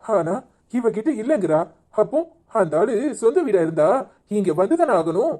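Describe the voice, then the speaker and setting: A man's voice: high-pitched, very animated, normal speed, dark tone. A man playing a troubled, apologetic family man, worried and deferential, in a cartoon village drama.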